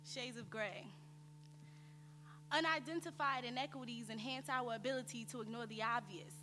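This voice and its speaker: A young woman recites spoken-word poetry in a high, forceful voice. Her delivery is rhythmic and declamatory, and it rings through a reverberant theater hall. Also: normal speed. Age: young adult. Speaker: student performer. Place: on stage in a theater. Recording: echoey theater hall with background noise.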